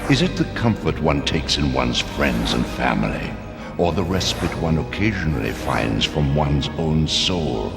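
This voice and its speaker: A brooding cartoon villain, extremely deep and gravelly. He muses slowly and solemnly, with menace, in a big echoing reverb over music. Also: sing-song, full character voice. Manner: solemn, measured. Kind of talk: dramatic cartoon monologue.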